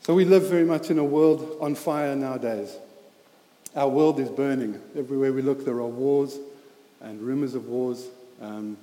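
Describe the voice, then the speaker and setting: A man's voice: mid-range voice, warm tone. South African man preaching in echoey hall. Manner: halting, many fillers and restarts, earnest. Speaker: lay preacher. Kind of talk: sermon with personal story. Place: church hall. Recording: echoey hall with long reverb.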